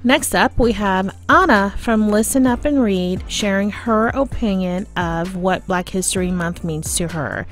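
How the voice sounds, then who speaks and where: A woman's voice: mid-range voice, unhurried, hardly any pauses. An American woman hosting a podcast, talking conversationally and directly to listeners in a personal, casual style. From United States. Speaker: podcast host.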